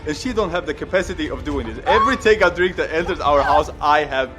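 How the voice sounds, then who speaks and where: A young man with a Swedish accent, talking casually with his partner in an animated, exasperated way. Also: fairly high pitch, quick pace, over music. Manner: animated, exasperated ranting with swearing. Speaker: vlog host. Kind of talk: casual couple chat.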